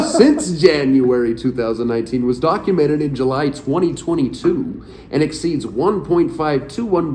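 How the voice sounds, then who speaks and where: A man's voice: fairly high pitch, a little mellow, reverberant, a little hiss or hum. A man in his late thirties, co-hosting a casual podcast chat, laughing often and reading search results aloud. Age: late 30s. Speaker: podcast co-host.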